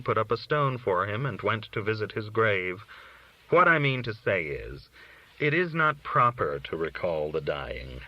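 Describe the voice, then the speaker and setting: A man's voice: mid-range voice, dry recording. A man reading literary short stories aloud as scripted narration. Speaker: audiobook-style reader of short stories. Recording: dull, lo-fi recording.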